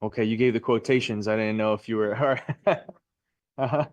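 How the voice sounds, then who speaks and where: A man's voice: fairly low pitch, brisk, dry recording. A man teaching a live class, upbeat and chatty, asking his students questions. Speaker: real estate instructor.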